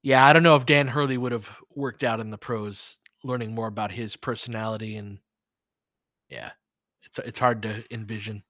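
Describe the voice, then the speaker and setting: A man's voice: fairly low pitch, steady tone, moderate pace, a bit bright, no echo, clean studio sound. A man giving informal live-stream play-by-play and commentary, thinking aloud as he chats with listeners.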